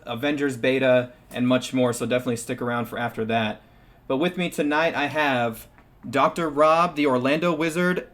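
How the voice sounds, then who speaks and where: A man's voice: mid-range voice, fairly bright tone. A young man hosting a live-streamed gaming talk show, upbeat and chatty, with frequent fillers. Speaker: stream host.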